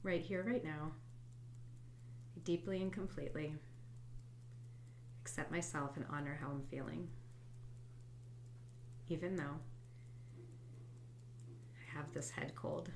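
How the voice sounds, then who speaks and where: A woman hosting a guided tapping session, congested from a head cold, speaking softly and reflectively and sometimes dropping to a whisper. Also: low-pitched, steady tone, takes it slowly, gravelly, long pauses, a little hiss or hum. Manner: soft, reflective, drifts into quiet whispered tapping phrases. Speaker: host and EFT tapping coach.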